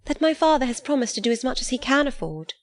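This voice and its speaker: A woman's voice: sits high, very animated, fast talker, pitched above the performer's own voice. A woman reading an audiobook, voicing an earnest, sincere young woman. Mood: hurt.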